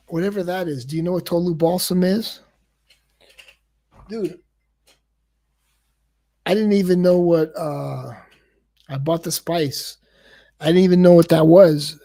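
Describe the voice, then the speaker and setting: A man's voice: a little high, dark tone. A man hosting a casual livestream, rambling and repeating words, and he says he stutters. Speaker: livestream host.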